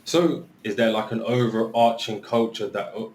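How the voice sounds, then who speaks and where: A man's voice: on the low side, moderate pace, a little mellow, slight background noise. A man hosting a podcast, reading an upbeat intro and then asking interview questions. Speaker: podcast host.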